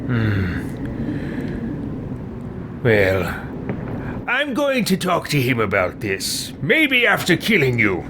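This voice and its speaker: A man's voice: medium pitch, huge pitch swings, takes it slowly, long pauses, noisy background, voice pushed down. A man roleplaying a blunt, menacing video game hero with hesitant, threatening delivery. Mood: dry, pondering.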